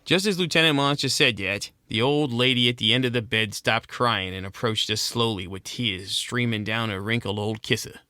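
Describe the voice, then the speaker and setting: A man's voice: mid-range voice, moderate pace, hardly any pauses, pushed and bright, clean tone, no echo, lightly acted. A man playing a cynical American penguin private eye, narrating in slangy, world-weary 1940s noir style. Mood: guilty, bitter. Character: hard-boiled penguin detective, cynical and guilt-ridden. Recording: music behind the voice.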